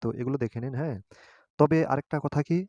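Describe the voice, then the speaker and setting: A man's voice: fairly high pitch, warm tone, dry recording, muffled recording. A man hosting an informal step-by-step app tutorial, speaking hesitantly and often checking that listeners follow. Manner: hesitant, halting, often seeking agreement. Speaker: tutorial host.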